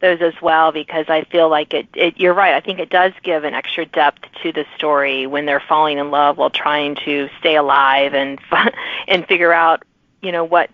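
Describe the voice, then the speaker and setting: A woman's voice: sits low, level tone, moderate pace, no room sound, phone-quality sound. A woman hosting a podcast interview in a casual, chatty and affirming conversational way. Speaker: podcast host interviewing an author.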